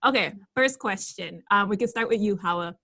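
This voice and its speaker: A young woman hosting a casual interview, upbeat and quick to laugh. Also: mid-range voice, gravelly, pushed and bright, dry recording, studio quality. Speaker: podcast host.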